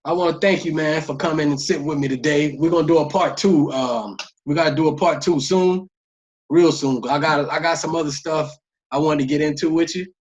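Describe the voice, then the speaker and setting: A man's voice: a little high, steady tone, brisk. A man hosting a podcast in casual, slangy interview chat, on a lo-fi recording. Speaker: podcast host. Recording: dull, lo-fi voice track.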